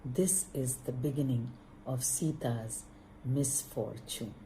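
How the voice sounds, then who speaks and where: A middle-aged woman, gently narrating a story for a puppet show in measured phrases with long pauses. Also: a bass voice, a little hiss or hum, low-quality recording. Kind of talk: slow scripted narration.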